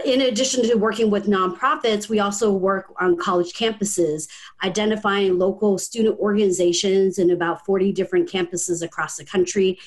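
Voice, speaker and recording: A woman in her forties, a nonprofit director speaking earnestly as a panel guest on a video call from home, with frequent ums. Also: mid-range voice.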